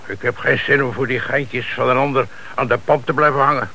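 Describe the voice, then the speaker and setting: A man's voice: medium pitch, steady tone, brisk, bright, forceful tone. An actor in a Dutch radio play playing a shifty small-time crook who deals in cars, speaking hesitant, slangy street talk and hedging his answers. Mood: grumbling, searching. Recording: noisy old radio play recording.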